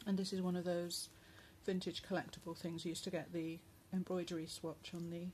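A lowish, slightly hoarse woman chats gently through a craft journal, pausing often with "um"s. She is recorded on a phone above a table at home, with a faint hum in the room behind her. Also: little pitch movement. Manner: soft, chatty, with many pauses and fillers. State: going hoarse, clearing her throat. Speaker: crafter showing a handmade journal. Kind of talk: casual craft flip-through narration. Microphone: phone camera above the table.

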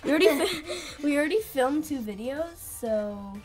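A woman's voice: sits high, lively intonation, normal speed, faint music behind. A young female co-host chatting casually and rambling with many fillers. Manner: rambling, filler-heavy, giggly. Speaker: channel co-host. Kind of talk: casual chat.

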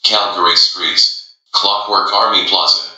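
Deep, cutting male subway announcer. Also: steady tone, measured pace, pushed and bright. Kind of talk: scripted transit announcement. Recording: very echoey announcement clips.

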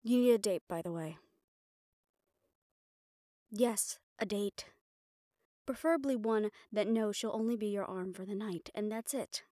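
Audio roleplay: sardonic female personal assistant to a crime boss, played by a woman, mid-pitched, businesslike yet familiar, dry close sound. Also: unhurried, pauses often, mellow, no echo, natural voice. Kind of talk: intimate scripted audio roleplay, one-sided dialogue.

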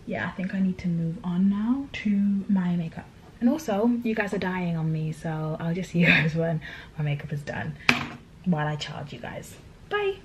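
A young woman vlogs in a small room at home while she does her hair, speaking from a camera a little way off. Her voice sits a bit low for a woman, and she talks in a chatty, rambling, confiding way. A faint hum of room ambience stays underneath. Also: moderate pace, dull audio. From Tanzania. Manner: chatty, rambling, confiding, peppered with "like" and "do you know what I mean". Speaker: vlogger.

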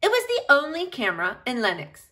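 Lady doing a kids read-aloud, bright voice kinda high, really expressive storyteller. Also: fairly quick. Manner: animated, addresses young listeners directly. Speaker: storyteller reading to children. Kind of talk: expressive picture-book read-aloud for children.

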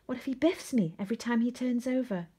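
Woman as an anxious, husky young boy penguin. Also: fairly high pitch, quick pace, mellow, pitched above the performer's own voice. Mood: anxious whisper. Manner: urgent, often whispering worried questions.

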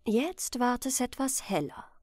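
A woman reads a scripted bedtime fairy tale. Her pitch is fairly high and moves with plenty of melody, and she takes her time with careful, expressive phrasing. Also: unhurried, no room sound, clean studio sound. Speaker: storyteller.

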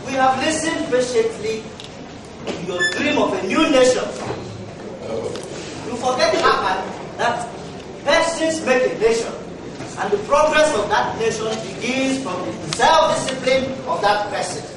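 In a very echoey hall, far from the mic, a man plays an old Nigerian schoolteacher in a stage drama. He lectures slowly and sternly, weighing each reproachful phrase. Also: extremely high pitch. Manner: measured, deliberate, lecturing. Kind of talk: stage play acting. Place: large bare indoor stage. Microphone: far camera. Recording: echoey hall, distant camera mic, noisy audience.

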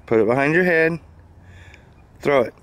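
A man's voice: on the high side, animated, normal speed. A man from Southern Illinois, a father filming a home video, explaining a toy fishing pole and coaching his child step by step in a casual, patient way.

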